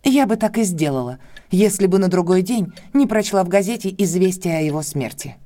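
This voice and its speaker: A woman's voice: on the low side, lively intonation, fairly quick, mellow, lightly acted. An actress playing a guarded, anxious young woman in an audio drama, speaking with careful restraint.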